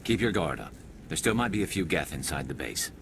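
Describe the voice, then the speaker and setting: An actor playing a serious, composed squadmate in a science fiction video game. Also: male voice, a bass voice, sing-song, fairly quick, gravelly, noisy background, heavily acted. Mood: alert, composed. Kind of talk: dramatic video game acting.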